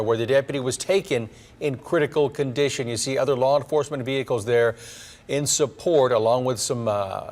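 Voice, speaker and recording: A man's voice: medium pitch, a little hiss or hum. A man with an American accent anchoring a live television newscast. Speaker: TV news anchor. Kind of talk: live broadcast news delivery.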